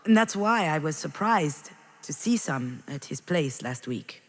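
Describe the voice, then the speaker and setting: A woman voicing a grieving, guilt-ridden mother in a video game, speaking in a halting, intimate, confessional monologue to her child. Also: deep voice, lively intonation, big-room sound. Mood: defensive, wry. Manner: halting, confessional, addressing someone directly.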